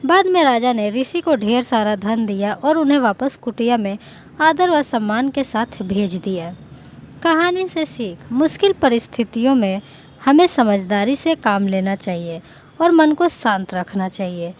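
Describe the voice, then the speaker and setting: A woman's voice: on the high side, animated, measured pace, a little hiss or hum. A woman reading a scripted moral story as a narrator.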